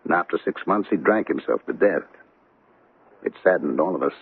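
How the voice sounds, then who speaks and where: An actor playing a genial, formal middle-aged bachelor banker in a radio drama, speaking in a measured, avuncular way. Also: male voice, deep voice, animated, slightly rough, phone-quality sound, full character voice. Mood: grave, cautioning. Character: genial, courtly fifty-seven-year-old bachelor banker settled in Honolulu. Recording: old radio broadcast sound.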